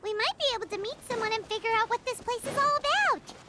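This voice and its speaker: A woman voicing a small, excitable, easily worried fairy companion in a video game, with a squeaky childlike voice and an exclamatory manner. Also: fast. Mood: curious, puzzled. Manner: refers to self in third person, exclamatory. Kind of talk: video game character dialogue.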